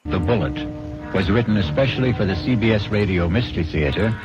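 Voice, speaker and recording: An actor playing the brooding host of a radio mystery, narrating in a measured, deliberate way on an old broadcast recording. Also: male voice, lowish voice, lively intonation, lightly acted. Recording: dull old radio broadcast, music behind.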